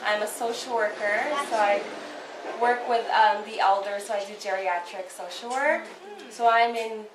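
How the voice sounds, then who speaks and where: A woman from Cambodia, a social worker, introducing herself in a relaxed way with frequent ums. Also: mid-range voice, moderate pace, background noise. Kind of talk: casual self-introduction. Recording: echoey room from far off.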